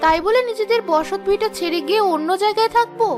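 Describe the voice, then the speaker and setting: Lady doing fretful young village mom for a cartoon, really high voice, bit of music behind. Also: quick pace. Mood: anxious. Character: anxious young village wife and mother. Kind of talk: animated moral story voice acting.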